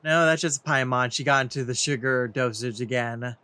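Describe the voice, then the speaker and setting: Low-voiced woman doing casual game commentary. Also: moderate pace, pushed and bright, no room sound. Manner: reacts aloud, conversational asides to game characters. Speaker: gaming video host. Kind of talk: casual let's play commentary.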